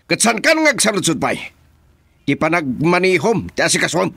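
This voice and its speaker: A man's voice: a little high, sing-song, normal speed, creaky, sharp tone, husky, no room sound, exaggerated voice. An actor playing a bossy, impatient man of power in a radio drama, with an Ilocano Filipino accent. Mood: impatient, commanding.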